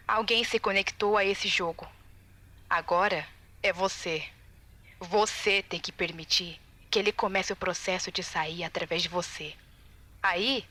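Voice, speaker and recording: Bright-voiced Brazilian woman, anxious game tester acted by a woman. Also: medium pitch. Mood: urgent, grave. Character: anxious, determined female game tester leaving secret warning tapes. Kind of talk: recorded audio-log monologue, video game fan dub.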